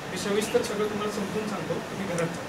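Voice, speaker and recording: A man acting as an earnest village veterinary doctor in a stage drama, explaining gravely and deliberately, phrase by phrase. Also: sits high, fairly even intonation, natural voice. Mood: grave, concerned. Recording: echoey room, distant mic, loud background noise.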